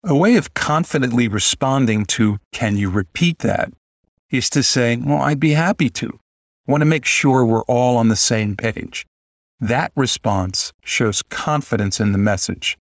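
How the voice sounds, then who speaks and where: A man hosting a podcast, explaining a coaching story in a measured way. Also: medium pitch, no echo, studio quality. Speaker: podcast host and communication coach. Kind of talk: prepared commentary.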